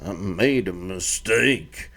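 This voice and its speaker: A man voicing a whiny, ashamed grown son and recovering drunk, in a low, muttering put-on voice. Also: slow, gravelly. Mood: sheepish. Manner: whiny, mumbling.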